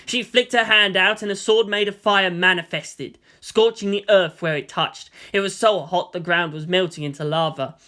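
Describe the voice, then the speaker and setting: High, bright-voiced man narrating dramatically. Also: steady tone, normal speed, hardly any pauses. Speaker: story narrator. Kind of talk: dramatic audiobook narration of action fiction.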